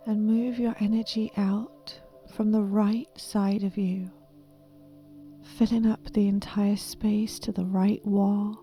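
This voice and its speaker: A woman with a soft, breathy, whispery voice gently and soothingly guiding a meditation in a deliberate manner. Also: mid-range voice. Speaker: meditation guide. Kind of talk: guided meditation, soft half-whispered narration.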